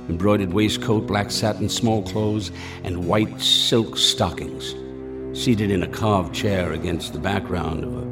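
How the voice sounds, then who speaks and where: A man narrating a gothic radio drama in a formal, measured storytelling manner. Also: low-pitched. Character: solemn male narrator of a gothic horror tale. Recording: music behind the voice.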